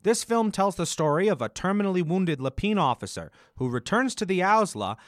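Man playing earnest high-pitched film critic. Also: sing-song, brisk, no echo, clean studio sound. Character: earnest, easily offended bunny film critic co-host. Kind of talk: comic radio play film review.

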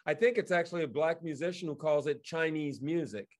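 A man talking casually as he tells stories, in an animated way, acting out remarks that people made. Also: on the high side, brisk. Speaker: guest co-host and theatre director. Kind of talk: casual storytelling in conversation.

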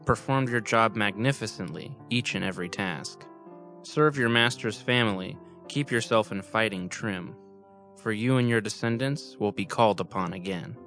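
A man's voice: fairly low pitch, moderate pace, fairly bright tone, soft background music. A man voicing a shy, earnest young shepherd boy in a rhymed storybook tale. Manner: earnest, a bit sheepish.

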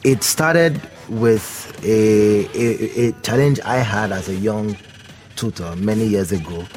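A young man from Nigeria with a deep voice answers questions as a podcast guest. He talks thoughtfully, pausing and restarting as he goes. Faint music hums quietly in the background. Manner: thoughtful, hesitant, with fillers and restarts. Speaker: guest, company founder. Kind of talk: podcast interview.

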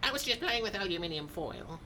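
A man's voice: sits high, lively intonation, a little fast, pushed and bright, slight background noise, exaggerated voice. A man voicing a cheeky, flippant computer sidekick who bores easily, in a radio drama. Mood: cheeky, bored. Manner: flippant, teasing.

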